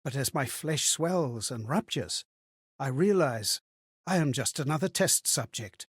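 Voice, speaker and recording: A man playing an anxious, amnesiac wanderer in a video game, delivering theatrical monologues in a measured, dramatic, husky voice full of wonder. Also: medium pitch, expressive, no echo, clean studio sound. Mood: grave, haunted. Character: anxious, amnesiac male wanderer reliving strangers' memories.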